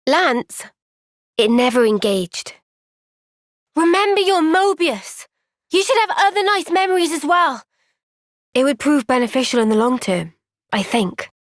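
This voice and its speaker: An earnest young female soldier from a fantasy video game. Her voice sits on the high side and she takes her time, gentle and reflective with thoughtful pauses. The sound is clean and very dry, with no room around it. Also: sing-song, dry recording. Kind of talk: video game cutscene voice acting.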